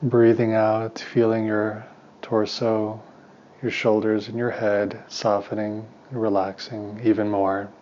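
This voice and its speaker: A man leading a guided meditation as a teacher, speaking in a calm, unhurried, soothing way with long pauses. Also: lowish voice, dull audio, slight background noise.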